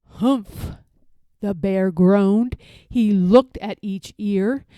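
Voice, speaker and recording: A woman reading a rhyming children's story aloud in an expressive narrating style. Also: medium pitch, slow, dry recording, studio quality. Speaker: storybook reader.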